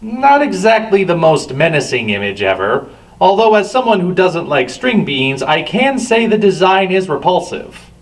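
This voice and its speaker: A man's voice: high-pitched, very animated, moderate pace. A man hosting a review with scripted commentary and a wry, sardonic manner. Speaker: reviewer, show host. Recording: distant from the microphone.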